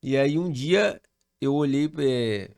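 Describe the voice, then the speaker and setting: A man's voice: medium pitch, slow pace, gravelly, no echo, clean studio sound. Man from Rio telling a story. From Rio de Janeiro, Brazil. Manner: animated storyteller, relaxed slang. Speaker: pastor, podcast guest. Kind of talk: casual storytelling chat.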